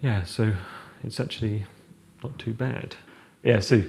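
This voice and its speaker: A young architect chatting casually while showing a room in a house, rambling with many fillers and restarts. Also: male voice, mid-range voice, a little slow, gravelly, darkish tone, slight background noise. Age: young adult. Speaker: architect guest. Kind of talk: casual chat, house tour. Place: large open-plan house living room, indoors.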